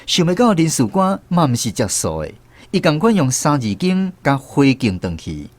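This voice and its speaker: An actor playing a former army conscript who narrates his memories in a radio drama, with a Taiwanese accent and an expressive storytelling manner. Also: a little high, normal speed. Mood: reflective storytelling.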